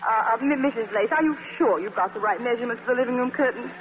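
An actress playing a brisk, poised career woman who manages everyone, in 1940s radio comedy style. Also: on the high side, in a large hall, faint music behind, natural voice. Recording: noisy, hollow, narrow-band old radio broadcast.

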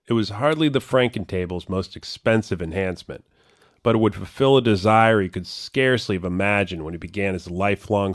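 A man's voice: on the low side, dry recording, clean studio sound. A man reading a scripted, narrative feature story as a reporter and narrator.

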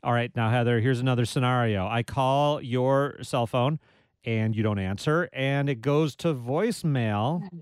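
Joking radio host, dry studio sound. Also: male voice, medium pitch, a little slow, smooth, no room sound. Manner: jokey, conversational, self-deprecating. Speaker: radio talk show host. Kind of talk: public radio call-in chat. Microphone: studio microphone.

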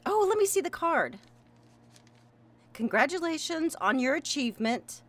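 An earnest young graduate. She speaks slowly with a highish, very lively voice in drama dialogue. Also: exaggerated voice. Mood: light.

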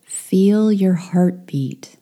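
Slow, soft-spoken woman guiding meditation. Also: medium pitch. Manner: soft, slow and soothing, with whispered phrases. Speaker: meditation guide. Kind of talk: guided meditation.

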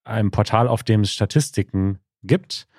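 A man's voice: fairly low pitch, normal speed, darkish tone, dry recording, studio quality. A man chatting casually as the co-host of a podcast. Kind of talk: casual conversational podcast chat.

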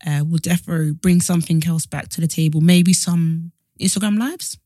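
Dry-voiced woman in her twenties, animated. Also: lowish voice, fairly quick, no echo. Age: 20s. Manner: animated storyteller, exasperated asides. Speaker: podcast co-host. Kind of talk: casual chat.

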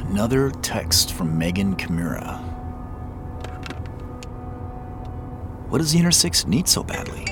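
A man's voice: on the low side, exaggerated voice. A man playing a polite, guarded driver on a phone call, giving hesitant answers in naturalistic audio drama dialogue. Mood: irritated, distracted. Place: inside a car. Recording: steady car cabin noise.

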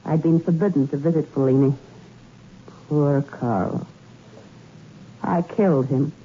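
An actress playing a cool, scheming femme fatale in a 1960s radio suspense drama, languid and matter-of-fact. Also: female voice, deep voice, measured pace, breathy edge. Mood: cold, confessional. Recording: thin phone-like old radio recording.

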